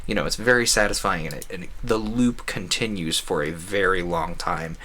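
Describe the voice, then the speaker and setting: A man's voice: low voice, normal speed, bright, forceful tone. A man doing casual gameplay commentary as a streamer, talking in a rambling, conversational way with frequent asides. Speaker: video game streamer.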